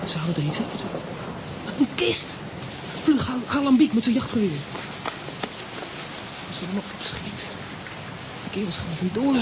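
Casting: earnest, eager comic-strip boy played by an actor, mid-pitched, with clear background noise. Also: expressive, moderate pace, long pauses, lightly acted. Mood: alert. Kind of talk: children's audio drama acting. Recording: noisy audio with ambient sound.

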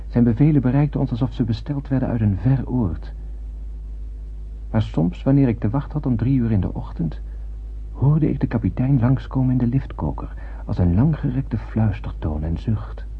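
An actor playing a brooding young spaceship crewman, narrating in a solemn, poetic and measured way in a radio drama. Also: mid-range voice, breathy edge. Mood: wondering. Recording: muffled, hissy old radio drama recording.

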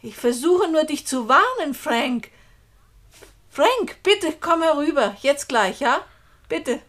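A high-voiced young woman in a German radio play. She is anxious and dramatic, pressing her words with urgent, pleading insistence. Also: sing-song, takes it slowly, fairly bright tone, full character voice. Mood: pleading, insistent.

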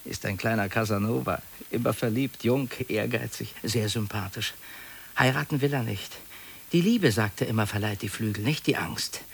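An actor in a 1950s radio play, playing a fussy, anxious middle-aged office clerk who talks in a confiding, hesitant, gently pleading way. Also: male voice, on the low side, moderate pace, gravelly, husky. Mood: confiding, wistful.

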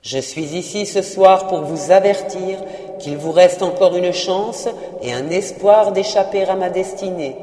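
Wailing chained ghost of an old miser, voiced by the narrator, declaiming, slow and mellow, long reverb. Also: mid-range voice, lightly acted. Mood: solemn. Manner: declaiming, anguished laments. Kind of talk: audiobook character reading.

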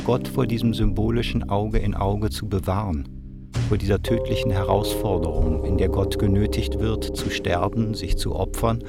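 A man's voice: on the low side, fairly even intonation, normal speed, creaky. An actor reciting as a grave philosophical man, solemn and declamatory, in a radio play. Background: loud plucked guitar music.

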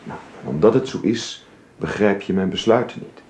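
A man's voice: fairly low pitch, moderate pace, darkish tone, close to an everyday voice. A man playing a reserved, earnest father and employer who speaks formally and hesitantly in a radio drama with a roomy, hissy recording.

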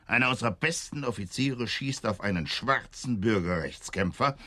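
An actor playing a stern, sardonic senior police inspector in a radio drama, curt, biting and sceptical. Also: male voice, medium pitch, lively intonation, moderate pace, pushed and bright. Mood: sarcastic.

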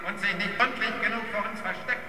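A man's voice: sits high, fairly even intonation, fairly quick, pushed and bright, slight background noise. A man playing a menacing, mockingly polite interrogator with a sardonic, controlled manner in a German radio drama. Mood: mocking menace. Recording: echoey hall, distant from mic.

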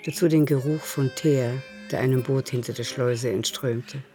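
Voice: mid-range voice, steady tone, moderate pace, mellow. A radio drama narrator reads literary prose. The voice sits in a middle register. Faint music runs underneath.

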